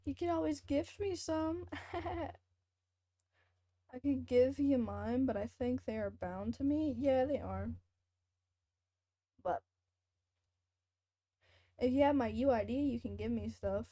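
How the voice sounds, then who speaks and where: A woman's voice: high-pitched, slow pace, long pauses, mellow. A young woman chatting casually and hesitantly as she plays a game on a livestream, rambling a little. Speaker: game streamer. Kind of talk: casual livestream chat while gaming. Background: faint game music.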